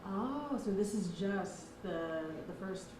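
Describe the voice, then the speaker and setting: Woman, news interviewer, casual questioning, medium pitch, echoey room, faint background noise. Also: animated, slow, darkish tone.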